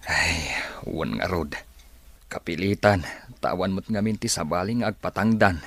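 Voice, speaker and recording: A man's voice: sits low, a little slow, fairly bright tone, dry recording, exaggerated voice. A man with an Ilocano accent playing a poor, weary, resigned farm labourer in an audio drama. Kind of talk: Ilocano radio-style drama acting.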